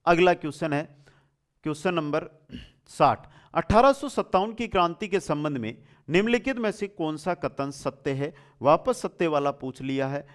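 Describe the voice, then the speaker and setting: A man's voice: a little high, lively intonation, normal speed. A man teaching an exam-prep quiz lesson, reading out questions and explaining answers in a familiar, affectionate way with repeated key points.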